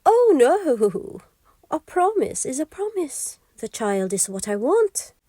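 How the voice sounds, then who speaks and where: A woman's voice: high voice, very animated, slow, dark tone, dry recording, voice pushed up, heavily acted. A woman as a sly, sing-song little goblin man. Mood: firm, taunting. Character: gleeful scheming little male goblin imp, bargaining and taunting.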